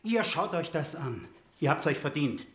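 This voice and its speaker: An actor playing a coarse, bullying police inspector in a radio drama, gruff and impatient, hammering his phrases home. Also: fairly high pitch, very animated, normal speed, a bit bright, exaggerated voice. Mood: grim, pressing. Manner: gruff, cursing, hammering repetition.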